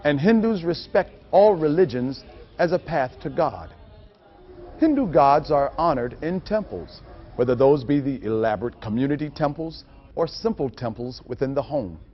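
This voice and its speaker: A man's voice: mid-range voice, expressive, normal speed. A man reading scripted narration for a training film. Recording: muffled audio with music behind. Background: music.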